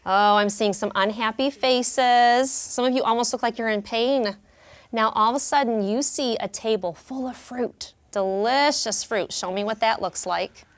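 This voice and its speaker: A woman's voice: on the high side, measured pace. A woman teacher leading a classroom lesson for young children, speaking expressively and prompting them with questions. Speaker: elementary school teacher.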